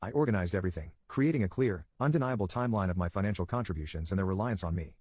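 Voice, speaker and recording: A man reads a dramatic first-person story at a brisk clip. His voice sits fairly low and has a husky grain, delivered in short, punchy phrases. The recording is dry and close. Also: animated, darkish tone, no room sound. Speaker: storyteller narrator.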